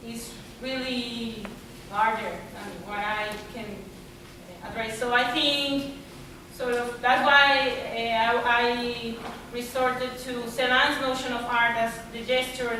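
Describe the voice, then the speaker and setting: A woman from Argentina answering audience questions at a symposium, pausing often and searching for words. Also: fairly high pitch, little pitch movement, background noise. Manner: halting, searching for words, many fillers. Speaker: artist at a symposium. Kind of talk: reflective Q&A answer. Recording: echoey lecture room from far off.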